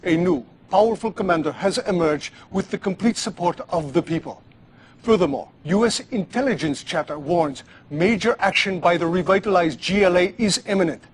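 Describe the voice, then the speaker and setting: An actor playing a solemn, boastful propagandist war reporter in a game, declaiming a scripted TV news report. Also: male voice, high voice, normal speed. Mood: defiant, proud.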